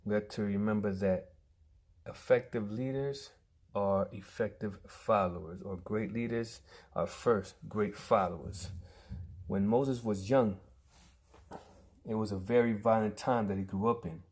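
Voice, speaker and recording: A man giving an earnest prepared tribute speech, emphatic, with pauses and restarts. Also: on the low side, fairly even intonation, darkish tone.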